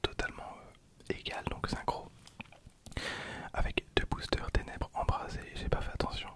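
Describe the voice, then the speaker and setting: A man in his twenties whispering softly as an ASMR host, hesitant and often repeating his words. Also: normal speed. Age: 20s. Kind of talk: whispered ASMR card-opening commentary.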